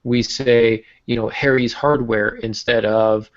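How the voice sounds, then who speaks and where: A man's voice: mid-range voice, steady tone, measured pace, lo-fi sound. A man talking as a podcast host and writing teacher, explaining informally, stressing key words and posing rhetorical questions. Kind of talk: conversational teaching about writing.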